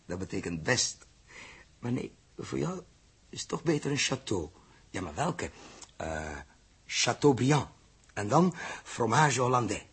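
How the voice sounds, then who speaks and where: Musing, indulgent fellow, very rough breathy tone, mid pitch, hesitant delivery, old-time radio drama. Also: male voice, very animated, takes it slowly, pauses often, a bit bright, heavily acted. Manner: hesitant, musing, thinking aloud. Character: troubled, indulgent grown man humouring a little girl. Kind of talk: 1960s Dutch radio drama acting.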